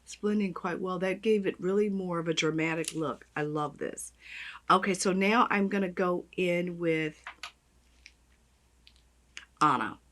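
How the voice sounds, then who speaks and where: Woman, raspy, lowish voice, chatty beauty vlogger, gushing. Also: a little slow, long pauses, a bit bright. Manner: gushing, chatty, talks while applying makeup. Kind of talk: makeup tutorial chat.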